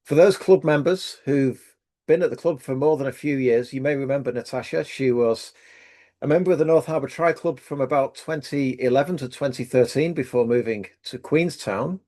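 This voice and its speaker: A man's voice: medium pitch, moderate pace, mellow. A man hosting an interview podcast, friendly and casual, often restarting his sentences. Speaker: podcast host.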